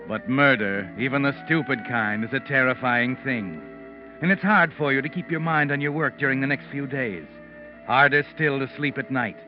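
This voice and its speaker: A man's voice: mid-range voice, natural voice. Male actor, all-knowing taunting mystery narrator for 1940s radio, insinuating, menacing, music behind, muffled old sound. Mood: sinister, knowing. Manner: insinuating, deliberate, addresses the culprit as you.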